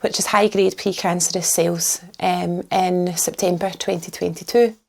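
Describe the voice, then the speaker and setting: A Scottish mother in her early thirties talks hesitantly, pausing with frequent ums. Her voice has a strong gravelly fry at the ends of phrases. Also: fairly low pitch, steady tone, a little mellow. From Scotland. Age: 30s. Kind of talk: personal testimony.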